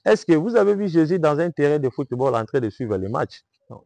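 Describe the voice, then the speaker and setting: A man's voice: a little high, big pitch swings, fairly quick, creaky, darkish tone, dry recording. A man with a Congolese French accent from Kinshasa, a self-styled prophet answering interview questions in a preaching manner, emphatic and repetitive, often asking "vous comprenez?".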